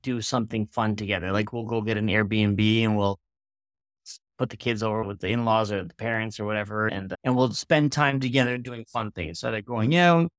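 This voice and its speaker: A man's voice: fairly low pitch, steady tone, moderate pace, no room sound. A man in his thirties, a real estate investor, talking in a loose, rambling conversational way as an interview guest. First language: Hungarian. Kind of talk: casual interview chat.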